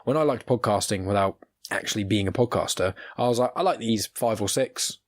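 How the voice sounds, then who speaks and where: Guy hosting a podcast, low voice, talks quick, rambly, dry close sound. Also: dry recording. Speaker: podcast host. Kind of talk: casual interview chat.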